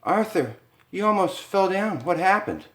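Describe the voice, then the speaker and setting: A man's voice: a little high, moderate pace, darkish tone, roomy sound, pitched above the performer's own voice, natural voice. A man voicing a frightened young woman trapped in a haunted house, breathless and pleading.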